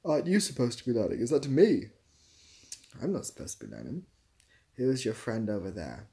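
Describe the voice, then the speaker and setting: A man's voice: medium pitch, wildly swooping pitch, fairly quick, vocal fry, warm tone. A young man hosting a casual livestream, reading out comments and giving dry, self-deprecating, rambling answers. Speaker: livestream host.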